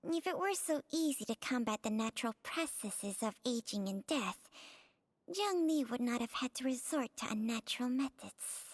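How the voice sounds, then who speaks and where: A woman's voice: a little high, lively intonation, moderate pace, no room sound. A woman voicing an ancient talking snake in a video game, detached and philosophical, with solemn, formal phrasing. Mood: cool, matter-of-fact. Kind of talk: dramatic video game voice acting. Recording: faint music behind the voice.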